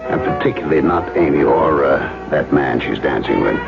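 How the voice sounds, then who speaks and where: An actor playing a grave detective in a radio drama, speaking confidentially and matter-of-factly. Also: male voice, rumbling low, lively intonation, a little fast, gravelly, over music, exaggerated voice. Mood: grave, confiding. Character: grave male detective working undercover. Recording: muffled radio broadcast.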